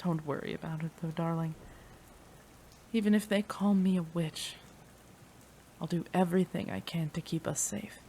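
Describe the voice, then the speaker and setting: A woman's voice: lowish voice, steady tone, normal speed, long pauses, warm tone, slight background noise, lightly acted. A woman playing a devoted, desperate female mad scientist in an intimate, emotional audio roleplay, addressing a beloved. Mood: tender, wistful. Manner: emotional, breathy sighs, addresses a beloved tenderly.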